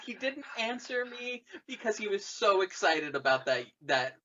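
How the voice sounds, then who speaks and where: Bright-voiced man in casual podcast chat. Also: sits high, big pitch swings, moderate pace, highs cut off. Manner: swears freely. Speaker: podcast co-host.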